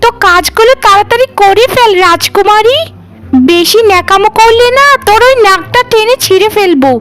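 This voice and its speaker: A woman's voice: very high-pitched, fairly quick, fairly bright tone. A woman voicing a sly, jealous, greedy sister-in-law in a theatrical cartoon melodrama. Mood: scheming, gloating. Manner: theatrical, conniving.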